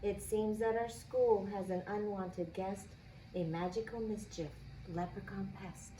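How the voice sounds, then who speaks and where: A woman reading a children's picture book aloud expressively, with deliberate, dramatic phrasing. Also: medium pitch, fairly even intonation, dark tone. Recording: echoey room, faint background noise.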